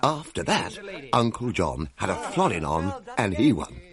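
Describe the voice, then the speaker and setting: An actor playing a jovial, wordy Victorian gentleman narrating a radio drama, with an English accent and a pompous relish. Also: deep voice, big pitch swings, a little slow, a loud crowd, exaggerated voice. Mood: wry relish. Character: jovial, wordy Victorian gentleman recounting a merry Christmas party. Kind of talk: dramatised first-person storytelling.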